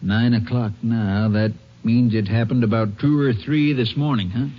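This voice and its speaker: An actor playing an earnest small-town sheriff with a folksy drawl in a 1950s radio drama. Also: male voice, mid-range voice, steady tone, moderate pace, lightly acted. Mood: somber. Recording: hissy muffled vintage radio recording.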